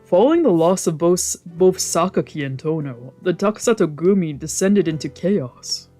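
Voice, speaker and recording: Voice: medium pitch, animated, normal speed, mellow, soft background music. A streamer of a visual novel reading the text aloud and adding casual, wry asides.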